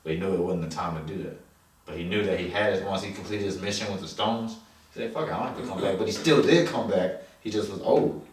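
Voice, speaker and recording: Low-voiced man in a very echoey room. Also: quick pace. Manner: profane, slangy, repeats phrases for emphasis. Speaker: film review co-host. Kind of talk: casual unscripted chat. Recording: echoey room, distant mic, faint noise.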